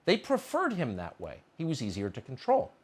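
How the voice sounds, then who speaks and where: A man's voice: mid-range voice, wildly swooping pitch, normal speed. A man reading a scripted historical narration with political commentary, in a dramatic, sardonic storytelling manner.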